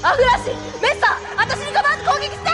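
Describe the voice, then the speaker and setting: A woman's voice: very high voice, lively intonation, quick pace, loud music behind the voice. A woman playing a feisty, defiant young mech pilot in a sci-fi audio drama, fierce and strained. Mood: desperate.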